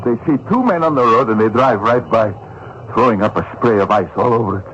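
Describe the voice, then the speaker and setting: A man playing a scheming, bitter Russian vagrant thief in a radio drama, gravelly and husky, bullying, taunting and wheedling. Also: medium pitch, animated, brisk, noisy background, close to an everyday voice. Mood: irritable, bitter. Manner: taunting, wheedling, muttered asides and scoffing. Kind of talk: old-time radio drama acting. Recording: dull, lo-fi radio drama recording.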